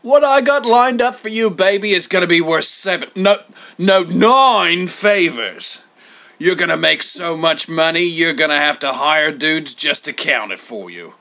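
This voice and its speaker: A man's voice: on the high side, animated, unhurried, sharp tone, pitched above the performer's own voice, heavily acted. A man voicing a huge bearded god as a slangy, boastful, wheedling hustler in a comic story. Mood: excited hard sell. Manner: slangy, schmoozing, boastful, theatrically wounded.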